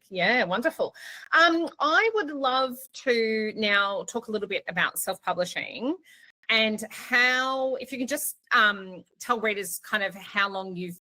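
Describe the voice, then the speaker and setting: A woman's voice: mid-range voice, sharp tone. A woman moderating a casual interview, encouraging her guests with affirming replies and conversational questions.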